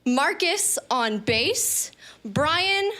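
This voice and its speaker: A woman's voice: sits high, animated, extremely slow, pushed and bright. A woman in a band chatting casually with the audience on stage, introducing the next song and her bandmates in a loose, self-deprecating way. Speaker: band member introducing the set. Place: live studio event venue.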